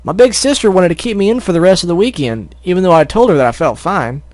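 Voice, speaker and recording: Man voicing a farm filly, southern drawl, high, bouncy, friendly, dry recording. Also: lively intonation, fast, darkish tone, no room sound.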